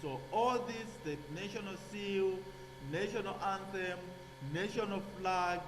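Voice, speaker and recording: A man giving a classroom lecture, halting with many fillers and restarts, checking in with "okay?". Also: high-pitched. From Zambia. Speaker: nursing lecturer. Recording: echoey hall with hum.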